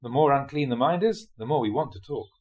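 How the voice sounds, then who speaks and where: A man, a Buddhist monk, reads translated spiritual teachings aloud in a scripted audiobook style, with measured, deliberate phrasing in short clauses. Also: mid-range voice, highs cut off. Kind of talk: scripted audiobook-style reading of dharma talks.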